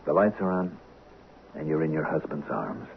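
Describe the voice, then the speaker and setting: An actor playing a two-faced, smooth-talking scheming husband in a 1970s radio drama, persuasive and theatrical, on a muffled old recording. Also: male voice, very deep voice, big pitch swings, normal speed, heavily acted. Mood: soothing.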